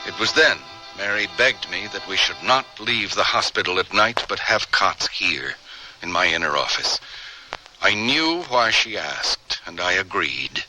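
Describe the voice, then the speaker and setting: Anguished, devoted scientist, swooping intonation. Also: male voice, sits low, animated, sharp tone, faint music behind, full character voice, low-quality recording. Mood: solemn recollection. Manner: theatrical, halting, emotional delivery. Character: grieving, devoted scientist husband, solemn and anguished. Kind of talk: old-time radio drama acting, narrated recollection.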